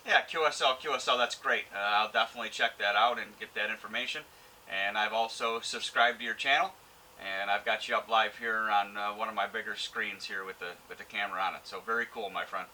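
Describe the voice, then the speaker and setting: A man's voice: lowish voice, steady tone, a little fast, very noisy. A man talking informally as an amateur radio operator, friendly and using radio jargon in a casual on-air chat. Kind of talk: casual ham radio contact.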